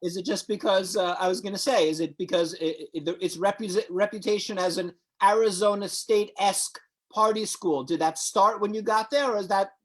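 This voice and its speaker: A male host with a high-pitched voice leads the chat, teasing his guest with mock-serious questions. His tone stays playful. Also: steady tone, normal speed. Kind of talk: casual interview chat.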